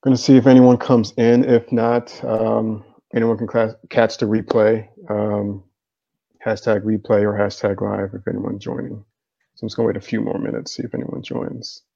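A man's voice: on the low side, little pitch movement, normal speed, vocal fry, mellow, muffled recording. A man hosting a live interview stream over a video call, casual and upbeat, with the odd um and man.

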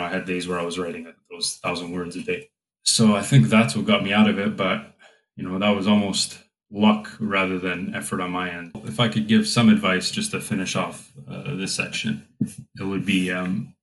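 A young man hosting a relaxed conversation, talking in a thoughtful way with plenty of fillers. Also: low voice, normal speed, low-quality recording. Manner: reflective, with frequent fillers. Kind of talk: casual conversation.

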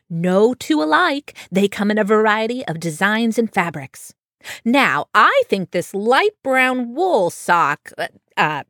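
A woman voicing a small, chatty female mouse who is a pushy traveling saleswoman, with a squeaky voice. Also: fairly bright tone, no echo, clean studio sound. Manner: quick, pushy sales patter.

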